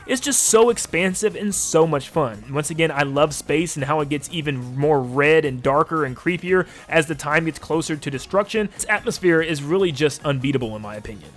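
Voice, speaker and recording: A man's voice: on the high side, fairly bright tone. A man hosting a video game channel, narrating a video essay in an upbeat, gushing and casual way.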